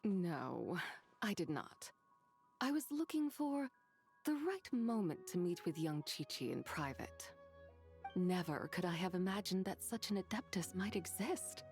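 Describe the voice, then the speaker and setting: Composed immortal lady, lowish voice, formal, stately, courteous, clear music underneath. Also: big pitch swings, a little mellow. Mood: grave, composed. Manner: formal, measured, archaic wording. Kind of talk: video game voice acting.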